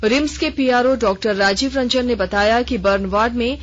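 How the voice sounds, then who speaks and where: A woman newsreader reading a formal regional radio news bulletin in a measured, even way. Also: a little high. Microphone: studio microphone. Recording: dull lo-fi radio broadcast.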